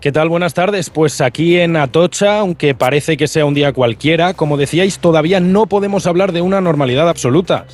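A man's voice: on the high side, fairly quick, hardly any pauses, soft background music. A man with a Castilian Spanish accent giving a live news report.

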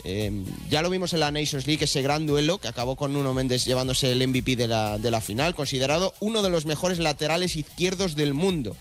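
A man's voice: on the high side, a little fast, hardly any pauses, faint music behind. A man with an accent from Spain giving a live, unscripted sports report on the radio, often repeating words as he searches for them.

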